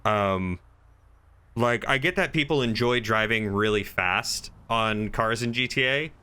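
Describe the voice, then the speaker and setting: A man's voice: medium pitch, a little slow, pushed and bright, smooth. A man chatting casually with someone while playing a game. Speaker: roleplay server crime lead. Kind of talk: casual chat while gaming.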